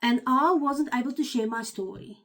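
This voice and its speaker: An Afrikaans-accented woman with a fairly high voice, speaking earnestly and candidly as a life coach. She talks in a personal, unscripted way, with frequent fillers and restarts. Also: moderate pace, fairly bright tone. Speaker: life coach and channel host. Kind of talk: personal motivational talk to camera.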